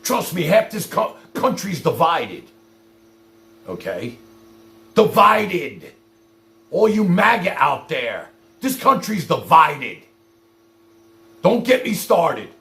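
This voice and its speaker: Male livestream host, gravelly and swinging widely in pitch; foul-mouthed, exasperated monologue aimed straight at viewers. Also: sing-song, slow, long pauses, sharp tone. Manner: profane, exasperated, confrontational; addresses viewers directly with repeated rhetorical questions.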